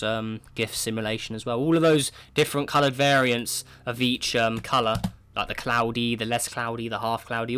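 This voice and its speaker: A man with a cold talks through a space simulation game at a mid-range pitch. He keeps up a relaxed running commentary, often restarting with "right, so", and his throat sounds sore. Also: clear, resonant tone. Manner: chatty, thinks aloud, often opens with "right, so". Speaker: gaming video creator.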